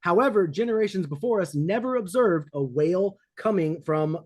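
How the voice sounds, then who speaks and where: A man debating, making insistent, measured rebuttals. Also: sits high, animated. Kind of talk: heated debate.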